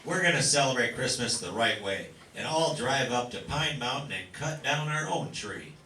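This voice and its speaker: A man's voice: medium pitch, expressive, normal speed, pushed and bright. A man playing a stubborn, nostalgic family father in an old-time radio comedy. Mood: insistent. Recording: roomy, distant mic, faint noise.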